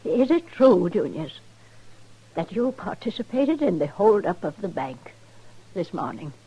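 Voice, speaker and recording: An elderly, proud grandmother in an old radio western. She speaks with formal dignity, taking her time over each phrase in a rough-edged, husky voice. Her temper is stern and unbending. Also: mid-range voice, big pitch swings, a little slow, dark tone, dull audio. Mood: stern, disappointed. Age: older. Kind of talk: 1950s radio western acting.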